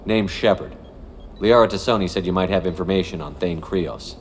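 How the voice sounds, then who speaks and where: An actor playing a stoic, gruff military commander in video game dialogue, terse and businesslike. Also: male voice, deep voice, normal speed, a little hiss or hum, natural voice. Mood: terse, steady.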